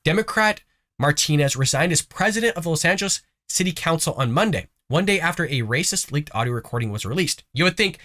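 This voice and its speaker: Guy hosting his political show, stuffy nose from a cold, bright punchy voice, a bit high. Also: fast. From United States. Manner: lively, folksy, sarcastic asides. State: congested, recovering from a cough. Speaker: host of a conservative news show. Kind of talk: casual political commentary.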